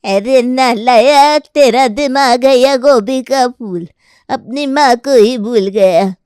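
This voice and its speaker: A woman voicing a cranky old grandmother and sarcastic mother-in-law who scolds and complains in an animated family story. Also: a little high, slow, clear, resonant tone, dry recording, clean studio sound. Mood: indignant.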